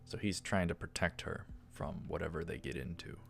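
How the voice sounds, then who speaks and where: A man's voice: low-pitched, normal speed, gravelly, fairly bright tone. A man hosting a let's play, reading a visual novel aloud and adding his own commentary. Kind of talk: narrated visual novel read-through with commentary. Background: music.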